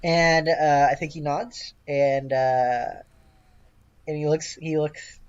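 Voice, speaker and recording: A game master improvising narration for a tabletop game in a hesitant, halting way. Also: medium pitch, steady tone, smooth. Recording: dull, lo-fi voice recording.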